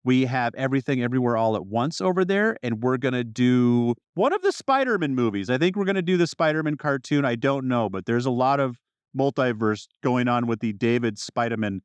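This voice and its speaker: A man hosting a casual film podcast, chatting in an animated, excitable way. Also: mid-range voice, fairly quick, clean tone, dry recording, lo-fi sound. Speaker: podcast host.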